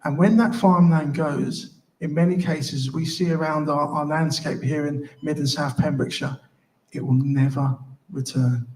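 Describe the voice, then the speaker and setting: A woman speaking as an independent election candidate, giving an impassioned, halting hustings speech with repeated words for emphasis. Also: low-pitched, fairly even intonation, darkish tone, a little hiss or hum, rough recording. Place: live public hustings event.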